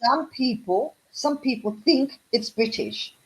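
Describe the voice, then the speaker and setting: A woman's voice: high-pitched, animated, slow pace, poor audio. A woman with an Australian accent, tutoring English over a video call in a patient, encouraging way that draws out questions. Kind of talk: online one-on-one conversation lesson.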